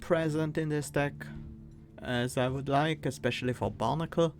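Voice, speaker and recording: A man with a Swiss accent, streaming a card game and thinking aloud, reading cards and weighing choices with pauses and fillers. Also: fairly high pitch, fairly even intonation, soft background music. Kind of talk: casual gameplay commentary.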